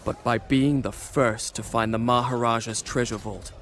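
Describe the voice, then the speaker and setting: An actor playing a proud, glory-hungry young Persian prince, telling his tale in measured, formal, dramatic narration for a video game. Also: medium pitch, steady tone, close to an everyday voice. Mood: brooding, intense. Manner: measured, solemn, formal storyteller. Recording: loud music under the voice.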